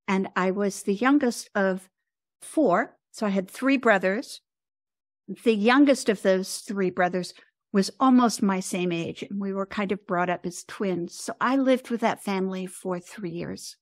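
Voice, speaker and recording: An American woman of seventy talking reflectively as an interview guest, with thoughtful, halting phrasing. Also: medium pitch, no echo, clean studio sound. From United States. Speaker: podcast guest, composer and author.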